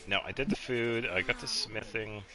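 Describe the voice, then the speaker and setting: A man casually commentating a game on a live stream, chatting with viewers and thinking aloud in a low-key, rambling way. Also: normal speed, crowd noise, low-quality recording. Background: game music.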